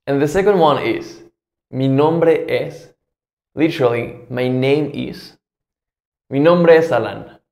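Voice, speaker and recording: A man in his twenties with a Mexican accent, a native Spanish speaker teaching a scripted language lesson with deliberate enunciation. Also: medium pitch, vocal fry, darkish tone, roomy sound. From Mexico, Guadalajara in Jalisco. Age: 20s.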